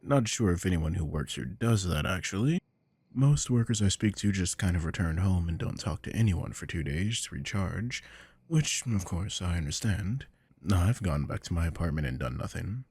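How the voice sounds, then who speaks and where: A man acting a weary, possessive, domineering office boss with a deep voice, in a scripted ASMR roleplay. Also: lively intonation, moderate pace, darkish tone, slightly rough, dry recording, exaggerated voice. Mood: weary, confiding.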